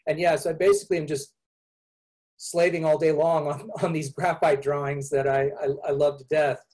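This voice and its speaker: A man's voice: on the high side, steady tone, normal speed, a little mellow, rough recording. A man, an illustrator, talking through his drawings in a rambling way with many restarts. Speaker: illustrator guest. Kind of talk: panel discussion on a call.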